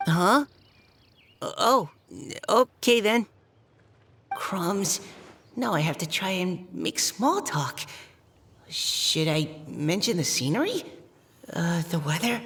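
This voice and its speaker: A woman's voice: lowish voice, very animated, slow, pauses often, fairly bright tone, a little hiss or hum, full character voice. A woman playing a shy, earnest young boy soldier in a video game, with a nervous manner.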